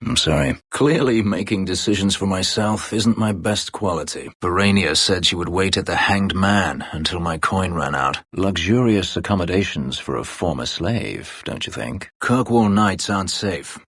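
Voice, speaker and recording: An actor voicing a brooding, bitter escaped slave, an elf warrior in a fantasy game, with a husky, gravelly voice and a terse, guarded, formal manner. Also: male voice, sits low, normal speed, hardly any pauses, a bit bright, dry recording, full character voice. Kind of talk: dramatic fantasy game voice acting.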